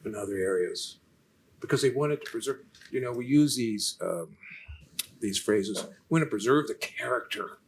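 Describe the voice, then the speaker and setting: A soft-spoken man, lecturing and answering questions in a halting way, with many restarts. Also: medium pitch, animated, gravelly, slight background noise. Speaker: featured lecturer in a Q&A. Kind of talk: unscripted lecture conversation.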